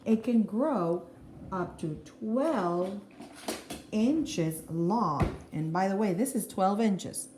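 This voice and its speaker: A woman teacher reading a children's picture book aloud in an expressive, deliberate way, with explanatory asides to young listeners. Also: mid-range voice. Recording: roomy echo, faint background noise.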